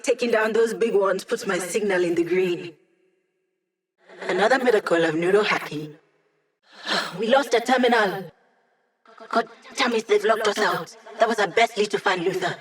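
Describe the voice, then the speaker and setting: A cheeky young female hacker played by a woman guides a video game squad as their digital helper. Her delivery is breezy and wry, with a teasing, techy wit and a mid-range pitch. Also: normal speed, long pauses, natural voice. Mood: focused, eager, then frustrated. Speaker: mission support character in a video game.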